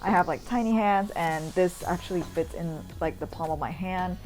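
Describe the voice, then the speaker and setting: A woman from Indonesia talks in a slightly low voice with soft music playing behind her. Her delivery is informal and chatty, like a vlogger recording a casual voice-over tour. She rambles loosely from one thought to the next. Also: moderate pace. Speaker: vlogger, urban sketcher. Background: background music.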